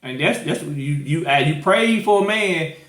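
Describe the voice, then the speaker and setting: A man's voice: fairly high pitch, lively intonation, moderate pace, fairly bright tone, roomy sound. A man hosting a podcast, talking loosely and rambling, with many restarts and fillers. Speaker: podcast host. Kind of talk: casual chat.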